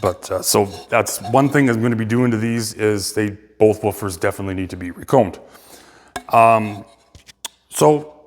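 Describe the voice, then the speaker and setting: A man giving a casual, unscripted technical walkthrough as a repairer, halting as he thinks aloud. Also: fairly low pitch, in a large hall. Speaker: speaker restorer presenting a repair video.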